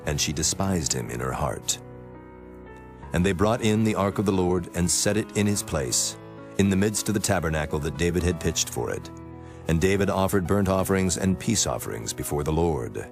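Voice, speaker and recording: A man narrating scripture from a script in a solemn, measured manner. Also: sits low. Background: music.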